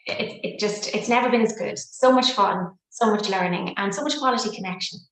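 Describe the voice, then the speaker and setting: A woman's voice: medium pitch, a little fast, roomy sound, rough recording. An Irish woman in her fifties, a psychotherapist and coach, talking fluently and expressively as an interview guest, with folksy sayings. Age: 50s. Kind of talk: reflective interview talk.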